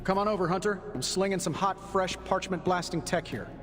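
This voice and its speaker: An actor playing a glib, boastful, wisecracking genius inventor in a video game. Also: male voice, high voice, fairly quick, natural voice. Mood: showboating. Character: cocky, wisecracking, showboating male genius inventor. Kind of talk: video game cutscene acting. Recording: music clearly behind voice.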